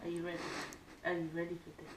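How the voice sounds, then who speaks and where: A woman's voice: fairly low pitch, completely flat delivery, very fast. A woman chatting casually and playfully, coaxing in short repeated phrases. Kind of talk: casual chat, playful pet talk. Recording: echoey, noisy, distant camera mic.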